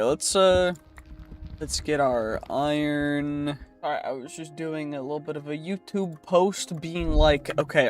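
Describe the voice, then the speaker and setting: A young man commentating his own gameplay while live streaming. He sounds congested from a cold and talks in an easygoing way, thinking aloud and reassuring himself. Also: a little high.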